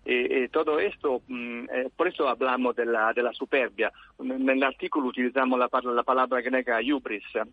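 A man's voice: medium pitch, fairly even intonation, creaky. Italian man on a tinny phone line. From Italy. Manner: halting, many fillers and restarts, mixes in Italian words. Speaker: guest researcher. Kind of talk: phone interview.

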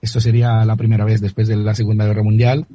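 A man's voice: lowish voice, monotone, quick pace, mellow, no echo. A man from Italy with an Italian accent, a guest on a radio interview who often repeats words while searching for the right term.

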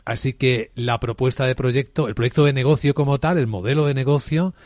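A man's voice: medium pitch, brisk, darkish tone, no room sound, studio quality. A man hosting a radio business interview, explaining points and ending them with "¿no?". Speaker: radio host.

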